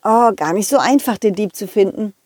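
A woman telling a children's story as an animated narrator. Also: mid-range voice, fairly quick, no room sound, studio quality. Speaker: storyteller and puppeteer.

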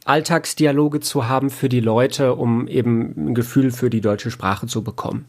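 Halting, mid-pitched man in casual interview. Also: fairly even intonation. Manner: hesitant, halting with very frequent filler sounds. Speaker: interview guest, audio editor and producer. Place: home studio, remote connection.

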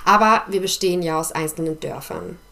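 A woman's voice: sits low, expressive, fairly quick, gravelly, fairly bright tone. A woman co-hosting a casual podcast, upbeat, leading the talk with short affirmations. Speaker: podcast co-host.

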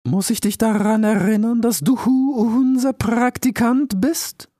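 A man voicing an old male turtle scholar who speaks very slowly and gravely. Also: very high-pitched, dark tone, no echo, studio quality, pitched above the performer's own voice. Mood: solemn. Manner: very slow, measured, grave. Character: slow, solemn, aged male turtle scholar.

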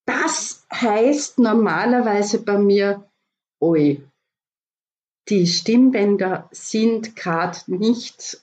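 Slow, mellow Austrian woman coaching voices. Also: medium pitch, dull audio. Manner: calm, explanatory, gives practical tips. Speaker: voice coach guest. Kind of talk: podcast interview.

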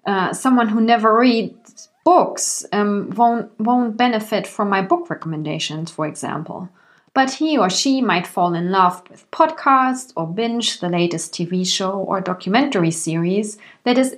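German-accented woman hosting a podcast. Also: mid-range voice. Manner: careful, measured, clearly enunciated English. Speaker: podcast host and English coach. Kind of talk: solo podcast monologue.

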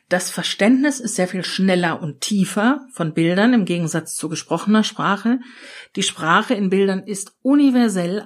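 German woman coaching her listeners. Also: medium pitch. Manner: lively, coaching, addresses listener directly. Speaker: podcast host and change consultant. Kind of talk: solo podcast talk.

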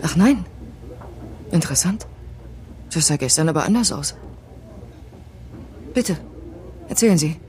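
A woman's voice: deep voice, animated, unhurried, long pauses, a little mellow. An actress playing a guarded, coolly courteous female restaurant owner in an audio drama, formal and businesslike. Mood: cool, curious. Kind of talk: naturalistic audio drama acting.

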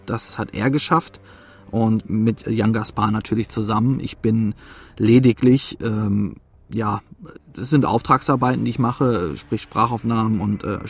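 A man's voice: lowish voice, breathy edge. A man speaking as an interview guest, talking off the cuff and searching for words. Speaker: audio drama producer, interview guest. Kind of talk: unscripted interview talk.

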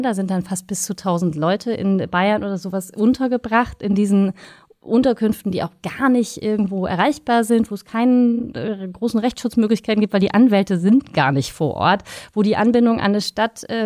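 A woman's voice: medium pitch, darkish tone, no echo, clean studio sound. A woman speaking as an expert guest in an interview, explaining things in an engaged, detailed way.

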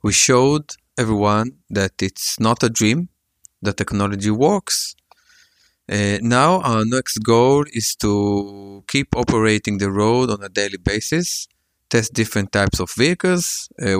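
A man's voice: lowish voice, clear, resonant tone, no echo, studio quality. A man who co-founded a company, answering interview questions earnestly and hesitantly with many fillers.

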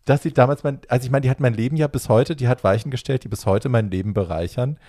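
A young adult man telling a personal story in casual chat, acting out the dialogue with lively energy. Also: medium pitch, fast talker, no echo, studio quality.

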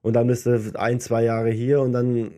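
Mellow young man with light Berlin accent. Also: mid-range voice, monotone, normal speed. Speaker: guest, professional ice hockey player. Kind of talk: casual chat.

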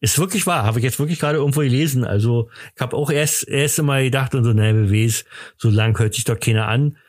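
A man's voice: mid-range voice, fast, a little mellow, no room sound, clean studio sound. A middle-aged man hosting a casual podcast, rambling and teasing, slightly tipsy. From Germany. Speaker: podcast host. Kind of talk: casual chat.

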